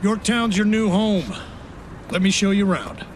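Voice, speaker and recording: Give me a man playing a commanding older admiral, slightly raised pitch, over ship noise. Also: steady tone, moderate pace, lightly acted. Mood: welcoming.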